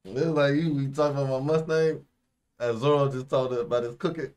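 A man's voice: medium pitch, steady tone, fairly quick, darkish tone, clear, resonant tone. A young man in casual reaction chat as a show host, talking in slang with plenty of bro and man. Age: young adult. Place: small room indoors, seated.

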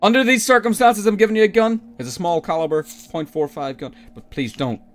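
A man playing an anxious, protective and goofy father in an improvised video game roleplay, with halting, exclaiming speech. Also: sits high, steady tone, close to an everyday voice. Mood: cautious. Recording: game music behind voice. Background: background music.

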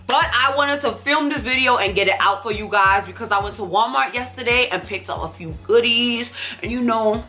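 Stuffy-nosed woman chatting brightly. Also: a little high, moderate pace, hardly any pauses, faint music behind. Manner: chatty, emphatic, enthusiastic product talk. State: stuffy nose from allergies. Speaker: beauty vlogger. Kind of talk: casual haul chat to camera. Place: small indoor room at home.

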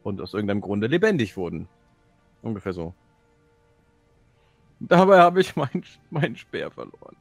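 Slightly low-voiced man chatting casually. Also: big pitch swings, normal speed, vocal fry, pauses often, dry recording, soft background music. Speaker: player in a tabletop role-playing game. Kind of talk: casual chat and session recap.

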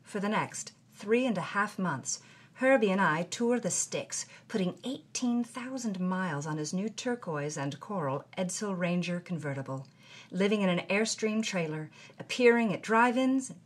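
A woman's voice: mid-range voice, a bit bright. A woman playing a brash thirty-year-old 1950s B-movie actress, narrating her journal in a wry, breezy way. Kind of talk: scripted narration.

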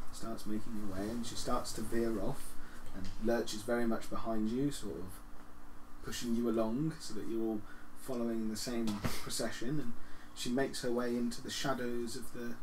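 A man's voice: mid-range voice, moderate pace. A man acting as game master, narrating scenes and explaining rules to players in a tabletop roleplaying game. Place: living room, group seated around a table. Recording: faint room noise.

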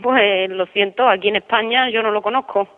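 A woman's voice: mid-range voice, moderate pace, dry recording. A woman working as a hotel receptionist, speaking firmly and matter-of-factly on a customer call. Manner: matter-of-fact, firm. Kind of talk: customer service phone call. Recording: muffled telephone line.

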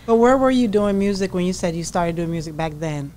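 A woman's voice: on the low side, lively intonation, a little fast, darkish tone. A woman co-hosting a podcast, asking casual interview questions into a close studio microphone. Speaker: podcast co-host. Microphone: close studio microphone with headphones.

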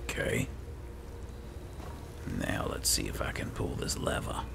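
A man murmuring low-key game commentary, thinking aloud and reading bits as he plays. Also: a little slow, in a whisper. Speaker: gamer host playing and roleplaying a fantasy game. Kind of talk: casual game commentary with read-aloud notes.

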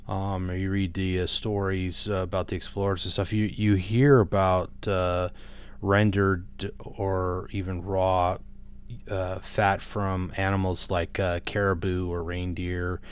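Deep-voiced man, smooth and rambling. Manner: rambling, conversational, hesitant. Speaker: vlogger giving diet advice. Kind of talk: casual unscripted vlog talk. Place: small room at home, indoors. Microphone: large microphone on a boom arm, close up.

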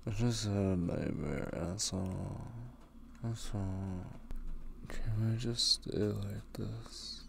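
Guy playing a drowsy needy boyfriend in asmr, really deep fry in his voice, super slow halting murmur, shaken by a bad dream. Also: steady tone, slight background noise, exaggerated voice. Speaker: ASMR roleplay voice performer. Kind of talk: ASMR, improvised roleplay.

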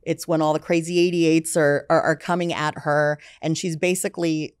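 A woman's voice: low-pitched, level tone, normal speed, clear, resonant tone, no echo. A woman in her forties, a film music executive, chatting casually as an interview guest with many fillers, on a podcast mic in a studio. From United States. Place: dark studio set, seated in armchair. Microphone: podcast mic on boom arm.

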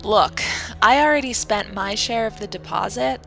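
An actress playing a determined, skeptical young investigator in an audio drama, brisk and exasperated. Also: female voice, medium pitch, gravelly, fairly bright tone, faint music behind. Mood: wry.

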